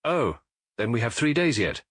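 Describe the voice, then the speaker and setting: A man's voice: medium pitch, sing-song, moderate pace, a bit bright, no room sound, studio quality. A man voicing a clever, nonchalant Victorian detective in a storybook, urbane, precise and breezy. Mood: nonchalant, brisk. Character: brilliant, nonchalant Victorian male detective, brisk and amused.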